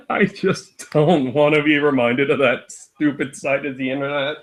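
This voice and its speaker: A man's voice: a little high, expressive, a little slow. A man chatting casually as a guest co-commentator, reacting with short remarks. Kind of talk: casual chat.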